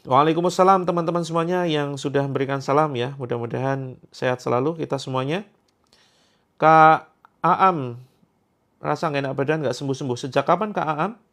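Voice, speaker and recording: A man's voice: fairly high pitch, moderate pace, pauses often, fairly bright tone. A man in his thirties from Indonesia, hosting a livestream where he reads out viewer comments in a chatty, joking way and repeats his points for emphasis. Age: 30s. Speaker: Quran therapy host.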